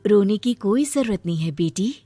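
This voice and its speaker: A woman's voice: medium pitch, animated, normal speed, warm tone, faint music behind, close to an everyday voice. A woman as a kindly, soothing little fairy. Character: kindly, reassuring little tree fairy, motherly. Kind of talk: acted moral-story dialogue.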